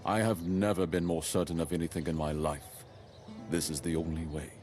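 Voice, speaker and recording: A man's voice: rumbling low, little pitch movement, normal speed, darkish tone. An actor playing an earnest, formal nobleman in a video game, with music behind.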